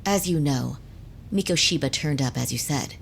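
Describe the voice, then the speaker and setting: A woman's voice: low-pitched, moderate pace, vocal fry, a little hiss or hum. A woman playing a cool, unimpressed lawyer in a video game, speaking formally, with dry precision. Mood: cool, probing. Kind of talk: English video game dub acting.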